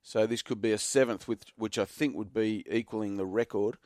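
Man, croaky, radio football pundit, lowish voice, dry studio sound. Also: fairly even intonation, a little mellow, no room sound. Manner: measured, informative, self-correcting. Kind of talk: radio sports segment.

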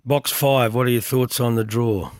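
An Australian man with a husky voice, hosting a racing radio show, talking with lots of hesitant fillers. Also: medium pitch, steady tone, normal speed, a little mellow, dry recording. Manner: hesitant, many filler words. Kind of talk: radio interview and news roundup. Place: radio studio.

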